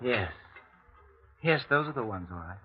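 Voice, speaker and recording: A man acting an urgent, hot-headed young Victorian gentleman in an old radio suspense drama. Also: medium pitch, expressive, unhurried, natural voice. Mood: stunned, brooding. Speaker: lead actor and narrator of the story. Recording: dull vintage radio recording, orchestral music.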